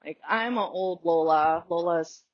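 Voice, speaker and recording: A woman's voice: fairly low pitch, measured pace, highs cut off, a little hiss or hum. A woman whose first language is Tagalog, hosting a casual solo podcast on a walk outdoors, talking in a chatty, rambling way with many fillers and restarts. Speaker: podcast host.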